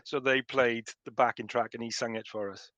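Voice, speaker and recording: Bright-voiced Welshman in his fifties. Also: mid-range voice, fairly even intonation, fairly quick, dry recording, highs cut off. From South Wales. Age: 50s. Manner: chatty storyteller, frequent restarts and chuckles. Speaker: guest. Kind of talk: casual remote interview.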